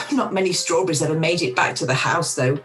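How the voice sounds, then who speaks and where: A woman playing a warm but self-doubting middle-aged restaurant owner in an amateur radio play, recorded over a video call. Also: low voice, a little fast, soft background music. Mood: fond, enthusiastic.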